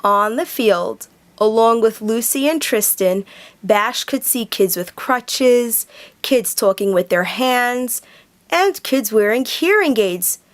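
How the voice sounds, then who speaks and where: A woman reading a children's picture book aloud at a slow, careful pace. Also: a little high, expressive.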